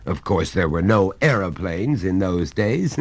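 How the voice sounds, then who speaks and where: A man's voice: low-pitched, sing-song, unhurried, voice pushed up, exaggerated voice. A man voicing a confident, patronising father who lectures his small son in a coaxing, superior way. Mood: lecturing. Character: confident, patronising middle-aged English father. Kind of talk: audiobook reading.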